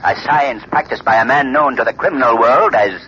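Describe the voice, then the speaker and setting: An actor playing a menacing invisible crime-fighting avenger in an ominous, declamatory 1930s radio drama style. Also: male voice, mid-range voice, fairly quick, sharp tone, lightly acted. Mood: grave menace. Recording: muffled 1930s radio, music behind.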